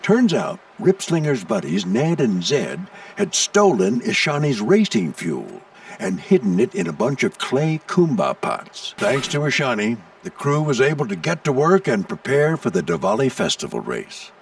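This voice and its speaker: An actor playing a seasoned navy plane, a gruff, folksy mentor telling stories with authority in an animated video game. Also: male voice, medium pitch, normal speed, dry recording, distant mic. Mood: wry, nostalgic. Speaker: commanding instructor recounting past races.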